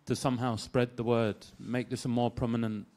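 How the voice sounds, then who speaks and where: A man's voice: mid-range voice, flat delivery, moderate pace, darkish tone. A man in a conference audience asking the panel an earnest, winding question. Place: conference hall.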